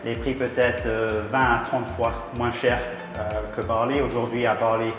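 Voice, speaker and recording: Man, french, halting, fillers, very echoey room, clear background music. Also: mid-range voice, level tone. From England. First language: English. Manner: hesitant, many fillers and restarts. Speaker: project founder, guest. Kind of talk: casual interview.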